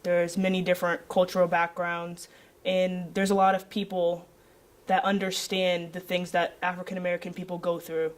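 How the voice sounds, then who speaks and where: A woman's voice: lowish voice, flat delivery, moderate pace. A young woman from New Jersey, a student giving candid interview answers. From Linden, New Jersey. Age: young adult.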